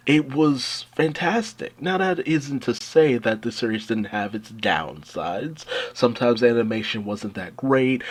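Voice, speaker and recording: A man's voice: mid-range voice, fairly bright tone, breathy edge. A young adult man giving an enthusiastic retrospective commentary as a fan host, gushing and leaning into words with theatrical emphasis. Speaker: video essay host and animation fan.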